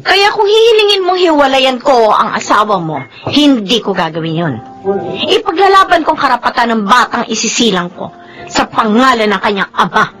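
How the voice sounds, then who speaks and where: An actress playing a defiant, pregnant young waitress who is a mistress in a radio drama. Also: female voice, a little high, big pitch swings, moderate pace, fairly bright tone, rough recording. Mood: defiant, cold. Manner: firm, defiant. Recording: music bed under voice.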